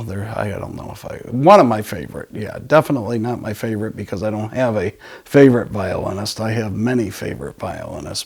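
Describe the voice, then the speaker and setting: A man hosting a casual audio talk, enthusiastic but halting, often trailing off and picking the thought up again. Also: mid-range voice, vocal fry, darkish tone. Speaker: audio dealer and show host. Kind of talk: casual product and music talk.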